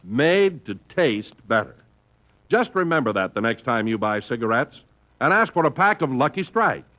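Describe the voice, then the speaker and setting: Male announcer, bright and lively, hearty sell, muffled old radio. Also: normal speed. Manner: hearty, booming salesman delivery.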